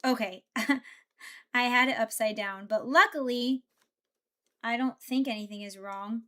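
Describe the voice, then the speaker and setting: A woman's voice: a little high, measured pace, fairly bright tone. A young woman streamer chatting casually while building Lego, thinking aloud and answering her chat. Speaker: VTuber streamer.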